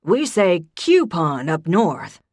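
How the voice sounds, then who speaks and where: A woman's voice: lowish voice, sing-song, a little mellow, dry recording, full character voice. Teasing old grandpa, stubborn and sly, played by a woman narrator, in a dry, close read. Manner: defiant, impish, drawn-out words. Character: elderly grandfather, teasing and contrary.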